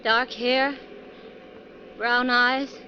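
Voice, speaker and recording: An actress playing a nervous, troubled wife travelling by bus in an old-time radio drama, speaking in a hesitant, halting way. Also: female voice, a little high, little pitch movement. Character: nervous, troubled married woman passenger. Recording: muffled, faintly noisy vintage radio recording.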